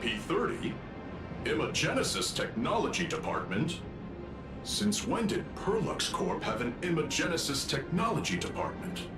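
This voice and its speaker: A man's voice: fairly high pitch, very animated, moderate pace. A man voicing a polite, efficient corporate aide in a video game, formal and businesslike. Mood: surprised. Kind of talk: video game character dialogue. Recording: roomy echo, music underneath.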